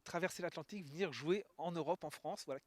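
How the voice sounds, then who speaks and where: A man's voice: on the high side, fast, no echo. A man interviewing a new player for a club's media channel, framing long, leading questions. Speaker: club interviewer.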